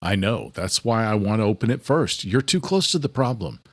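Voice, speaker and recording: A man's voice: lowish voice, big pitch swings, moderate pace, no echo, studio quality. A man playing a protective, level-headed brother in an audiobook, speaking in a subdued, reassuring way. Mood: cautious, resigned. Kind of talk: audiobook character acting.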